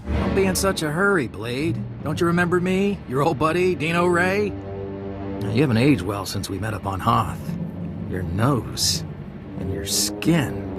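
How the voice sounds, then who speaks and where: A man's voice: on the high side, expressive, a little slow, over music. A man playing a sly small-time blackmailer in a video game, mock-friendly and needling. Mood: smug, threatening.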